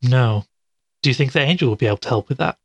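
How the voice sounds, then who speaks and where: An actor playing an earnest, curious podcaster investigating a mystery in an audio drama, with a husky voice. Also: male voice, medium pitch, fast, dry recording, dull audio, lightly acted. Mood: thoughtful. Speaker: podcast host. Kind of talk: audio drama dialogue and narration.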